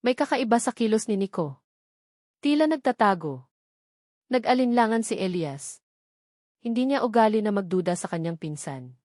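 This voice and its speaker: A woman's voice: mid-range voice, expressive, normal speed, long pauses, darkish tone, no room sound, studio quality. A woman reading a scripted romantic drama story as its narrator.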